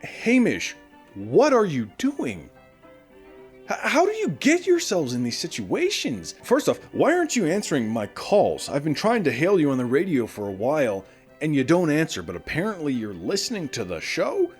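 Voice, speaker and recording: A man's voice: fairly high pitch, normal speed, faint music behind. A man playing a chatty, caring morning radio host in a post-apocalyptic audio drama, talking straight to his listeners. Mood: concerned, bemused. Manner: conversational, animated, addressing listeners directly.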